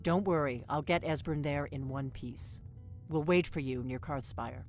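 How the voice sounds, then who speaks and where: A middle-aged resistance leader in a fantasy game. She is brisk, firm and practical, with little patience for rambling, and clear music plays behind her. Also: low voice. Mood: businesslike. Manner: businesslike, firm. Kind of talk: fantasy video game acting.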